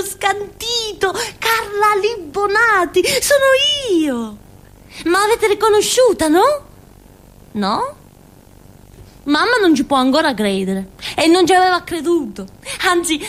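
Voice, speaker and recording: An actress playing a naive, excitable village simpleton girl in a theatrical monologue, with a Calabrian accent and a rambling, repetitive, childlike manner. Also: high voice, exaggerated voice. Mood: thrilled, proud.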